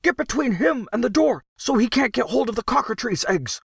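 A man's voice: extremely high pitch, lively intonation, brisk, vocal fry, a bit bright, husky, dry recording, studio quality, pitched above the performer's own voice, exaggerated voice. A man voicing a nervous, harassed gnome worker, cringing and pleading, in a storybook reading. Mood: urgent.

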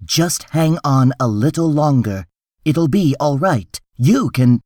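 A man's voice: on the high side, animated, slow pace, dark tone, no echo, clean studio sound, pitched above the performer's own voice. A man voicing an irritable, defensive official in a story reading, insistent and exasperated. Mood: anxious, placating. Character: tense, defensive, irritable male official making excuses.

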